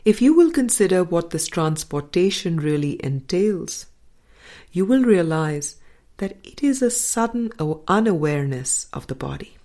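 A woman reading a spiritual book aloud in a measured, deliberate manner, pausing between phrases. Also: low voice, dark tone. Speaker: audiobook reader.

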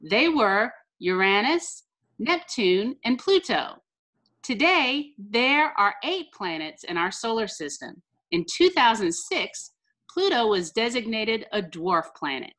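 American teacher lady reading a kids book, slow n clear words, bright voice, on a video call. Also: on the high side, very animated. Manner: careful, deliberate enunciation. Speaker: elementary teacher reading aloud. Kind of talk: picture book read-aloud for children. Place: small room indoors, on a video call.

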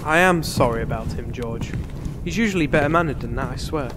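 A man's voice: medium pitch, lively intonation, fairly quick, lightly acted. A man playing a commanding, courteous master, secretly a witch in disguise, with composed theatrical delivery in a comedic fantasy audio drama. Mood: calm, courteous. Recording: long hall reverb, clear background noise.

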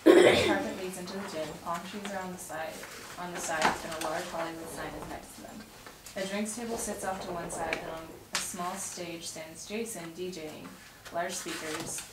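A distant, roomy recording with clear noise carries a young woman's voice. She sits fairly low in pitch and reads script directions aloud as the narrator of a table read. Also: steady tone, normal speed. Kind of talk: reading stage directions aloud. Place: home dining room around a table. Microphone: far camera mic. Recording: distant mic, roomy echo, noisy.

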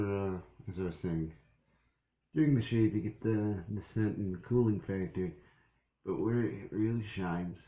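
A man's voice: deep voice, mellow. A young man rambling casually to a webcam in a small bathroom, with many fillers and restarts. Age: young adult. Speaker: shaving vlogger. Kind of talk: casual talk to camera. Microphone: webcam at arm's length. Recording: echoey small room.

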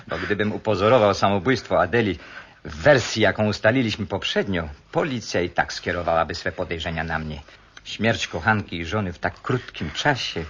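An actor playing a cold, smug, scheming murderous husband in a radio drama, suave and coolly condescending. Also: male voice, low-pitched, lively intonation, normal speed, fairly bright tone, slight background noise. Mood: cold menace. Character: cold, self-pitying scheming murderer, clinic-owning husband. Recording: dull, muffled radio play recording.

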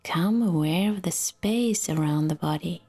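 A woman guiding a relaxation meditation in a soft, soothing, half-whispered voice with long pauses. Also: lowish voice, slightly rough, soft background music. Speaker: yoga nidra guide.

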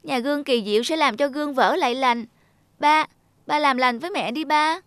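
A woman's voice: high-pitched, moderate pace, a bit bright, no room sound. A woman voicing an earnest, childlike little girl in a cartoon drama. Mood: tearful pleading. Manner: childlike, plaintive.

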